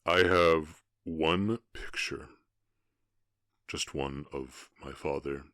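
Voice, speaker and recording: Half-orc cowboy, male actor: rock-bottom pitch, close dry sound, tender and ashamed, faltering into murmurs, ASMR drama. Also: fairly even intonation, a bit bright, dry recording, full character voice. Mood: pained, confessional. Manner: halting, emotional, often dropping to breathy murmurs. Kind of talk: ASMR roleplay voice acting.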